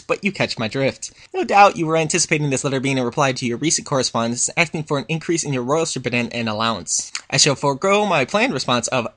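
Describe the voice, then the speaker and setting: A man's voice: on the high side, fairly bright tone. A man voicing a haughty, exasperated royal pony princess in a dramatic fan fiction reading, with pompous, scathing, formal diction.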